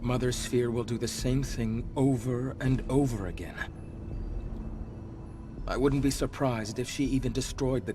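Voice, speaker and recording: An actor playing an ancient monster leader who was once human, speaking gravely, with measured, earnest persuasion in a video game cutscene. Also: male voice, medium pitch, lightly acted. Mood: grave, sincere. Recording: background music under the voice.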